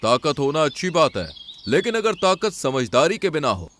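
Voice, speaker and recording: A man's voice: on the high side, sing-song, moderate pace, fairly bright tone, a little hiss or hum. A man as a stern, wise cartoon lion. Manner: authoritative, admonishing. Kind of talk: Hindi animal fable cartoon dialogue.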